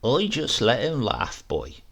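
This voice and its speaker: A boastful old country uncle from England, acted out by a male reader, swings widely in pitch as he spins tall tales. His voice is husky and gravelly, and he savours every sly phrase of the storybook yarn. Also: huge pitch swings, takes it slowly, fairly bright tone, heavily acted.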